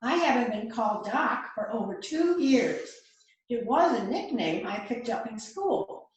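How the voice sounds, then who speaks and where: A woman's voice: on the high side, big pitch swings, a little slow. A woman playing an anxious murder suspect, earnestly protesting her innocence in an amateur mystery play. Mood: pleading. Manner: earnest, protesting, theatrical read. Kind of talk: amateur readers theater rehearsal. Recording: very echoey, noisy room with clicks.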